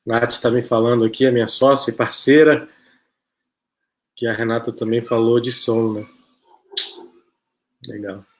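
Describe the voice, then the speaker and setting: A man hosting a live online interview show, talking in a relaxed, chatty way with frequent "né?" tags. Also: medium pitch, measured pace, pauses often. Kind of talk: casual live-stream chat.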